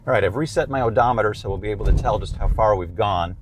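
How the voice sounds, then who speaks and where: A man's voice: on the low side, fast, heavy background noise. A man in his fifties with an American accent, explaining a product in a plain-spoken, conversational way. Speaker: presenter reviewing gear.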